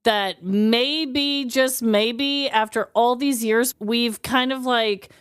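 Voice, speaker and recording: A woman co-hosting a podcast, animated and swearing casually, laughing often. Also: a little high, slow pace, clear, resonant tone, no room sound, clean studio sound. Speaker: podcast co-host.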